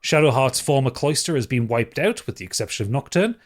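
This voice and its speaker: A man's voice: mid-range voice, sing-song, brisk. A man co-hosting a casual podcast, reading out messages and chatting with dry humour and some swearing. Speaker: podcast co-host. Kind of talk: casual chat, reading out listener feedback.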